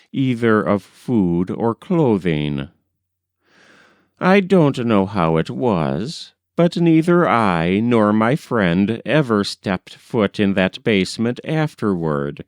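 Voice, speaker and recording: A man reading a literary story aloud as an audiobook narrator, in a measured, expressive storytelling manner. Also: lowish voice, clear, resonant tone, no echo, clean studio sound.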